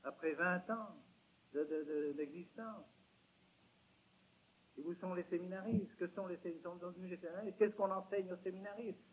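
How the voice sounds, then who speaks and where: Older priest lecturing, emphatic, stumbles and repeats, tinny old tape. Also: male voice, sits high, normal speed, long pauses, slight background noise. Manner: emphatic, rhetorical questions, frequent repetitions. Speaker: archbishop giving a lecture. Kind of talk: religious lecture. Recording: tinny lo-fi 1970s lecture recording.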